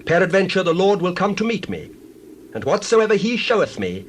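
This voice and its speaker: Ancient prophet: theatrical, declamatory, highish and widely inflected, faint outdoor ambience, Bible drama. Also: male voice, big pitch swings, fairly quick, slight background noise. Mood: solemn. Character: Balaam, intense theatrical male biblical prophet. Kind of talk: dramatized scripture reading.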